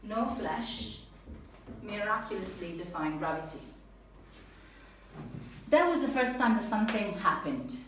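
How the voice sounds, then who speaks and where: A woman with an Italian accent giving an earnest, animated personal talk to an audience, then answering questions. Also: mid-range voice, a little slow. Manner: earnest, animated, laughs easily. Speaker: speaker at a talk. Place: event room with an audience. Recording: echoey room, mic at a distance, faint noise.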